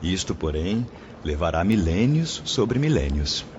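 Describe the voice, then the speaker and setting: A man's voice: low-pitched, sing-song, unhurried, a little mellow, highs cut off, a little hiss or hum. An actor with a Brazilian accent playing a solemn male spirit mentor in an audio drama, lecturing his pupils in a grave, oratorical way. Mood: solemn, reverent.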